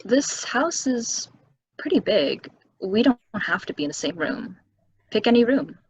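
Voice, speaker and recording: A woman at a stage play table reading, playing a calm, solitary young local who tells things in an unhurried, matter-of-fact way. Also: mid-range voice, slightly rough, dry recording, full character voice, rough recording. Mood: gently curious.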